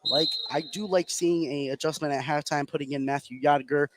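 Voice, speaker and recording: High-voiced man calling live basketball, noisy gym. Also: brisk. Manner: excitable on big plays, calling action as it happens. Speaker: play-by-play basketball commentator. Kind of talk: live radio sports commentary. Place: indoor basketball gym, courtside.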